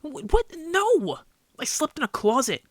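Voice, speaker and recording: A man playing an injured young werewolf, a defensive, easily embarrassed brother who talks casually and haltingly in an audio drama roleplay. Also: very high-pitched, big pitch swings, no echo, full character voice. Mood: flustered, indignant.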